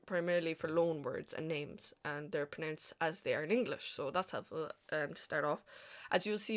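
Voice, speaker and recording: A woman's voice: sits low, fairly bright tone. A woman with a Cork Irish accent, teaching informally through a language lesson and explaining things in a chatty way, often checking that the listener follows. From Cork, Ireland. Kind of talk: informal slide lesson narration.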